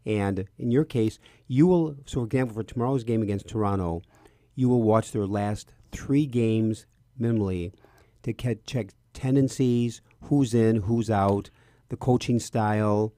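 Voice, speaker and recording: A man, middle-aged or older, talks in a conversational radio style. His pitch is on the low side and the sound is close and dry. He likes to restate and repeat his phrases. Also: measured pace, warm tone, no room sound. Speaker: priest, radio host.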